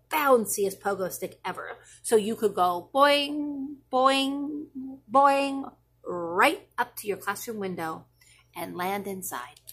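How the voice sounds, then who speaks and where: A woman's voice: fairly high pitch, fairly bright tone, slightly rough, exaggerated voice. A woman voicing a patient, playful mother who reassures her child with thoughtful answers in a children's storybook. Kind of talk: expressive children's storybook reading.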